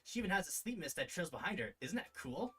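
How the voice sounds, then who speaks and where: A man's voice: high voice, big pitch swings, fast talker, fairly bright tone, breathy edge, pitched above the performer's own voice, exaggerated voice. A man voicing a cheerful, boastful young female character who chatters eagerly while reading a visual novel aloud. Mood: excited.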